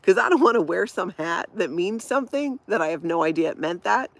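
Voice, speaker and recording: A woman's voice: lowish voice, animated, fairly quick, vocal fry. A middle-aged woman from Hawaii with a light Minnesota accent, casually hosting a livestream and reacting to viewer comments with frequent laughter. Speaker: livestream host reading chat. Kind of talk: casual live-chat talk.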